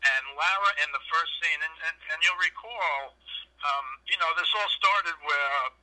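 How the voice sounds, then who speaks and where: A man's voice: on the high side, a little slow, sharp tone. A man in his fifties from New York, with a New York accent, telling long rambling stories in casual podcast chat over a phone line. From New York City. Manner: rambling storyteller with fillers.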